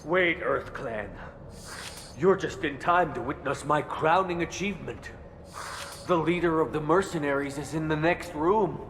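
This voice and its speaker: A small alien who believes he is a god, declaiming slowly and bombastically. He is drugged and rambling, a deluded video game character. Clearly audible noise sits behind the voice. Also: a little high, a bit bright. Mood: grandiose boasting. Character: drugged, delusional small alien merchant bragging of godlike power.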